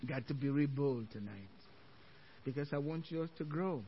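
A man's voice: mid-range voice, moderate pace, husky. A middle-aged Nigerian pastor with a Nigerian accent, preaching an evening sermon with emphatic scripture quotes and chuckling asides to his congregation. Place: church sanctuary. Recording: dull lo-fi church recording.

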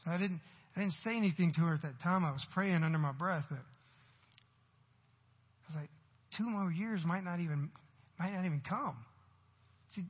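Husky high-voiced preacher in his forties. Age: 40s. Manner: conversational preaching with rising emphatic bursts. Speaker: pastor. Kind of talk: sermon. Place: church sanctuary. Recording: dull sound with long hall reverb.

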